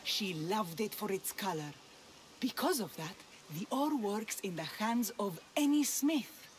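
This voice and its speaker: An actress playing a young, self-doubting blacksmith's daughter in a video game, wry and hesitant. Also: female voice, medium pitch, expressive, measured pace, natural voice. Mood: explaining. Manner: wry, hesitant, grumbling, sighing. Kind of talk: video game cutscene acting.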